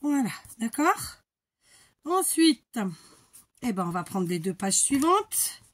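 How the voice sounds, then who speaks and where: A woman's voice: fairly high pitch, big pitch swings, slow pace. A middle-aged woman from the Paris region, chatting casually and rambling through a crafting tutorial. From Paris region, France. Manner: chatty and rambling, many fillers and restarts.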